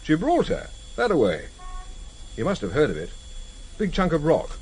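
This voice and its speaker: An actor in a vintage radio drama playing a cheeky, probing British intelligence agent, dry and teasing, with a British accent. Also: male voice, on the high side, huge pitch swings, normal speed, a little mellow. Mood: wry, teasing. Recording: slightly noisy vintage radio recording.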